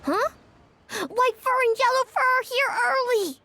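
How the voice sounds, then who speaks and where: Squeaky, very high little creature. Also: female voice, pushed and bright, a little hiss or hum, heavily acted. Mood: earnest. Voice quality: squeaky, childlike. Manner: halting, broken simple phrases. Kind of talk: video game character acting.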